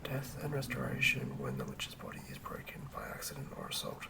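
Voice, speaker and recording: Male ASMR narrator, whispered and creaky, hushed measured reading of fantasy lore. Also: medium pitch, very animated. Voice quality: whispered, breathy and gravelly. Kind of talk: ASMR whispered read-through of a fantasy monster manual.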